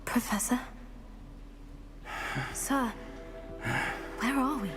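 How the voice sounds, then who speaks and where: Teenage witch, new student, courteous, slightly high voice, music underneath, fantasy game. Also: extremely slow. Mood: unsettled, polite. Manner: polite, deferential. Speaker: voice actor in a video game. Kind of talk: cinematic game dialogue. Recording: music behind the voice.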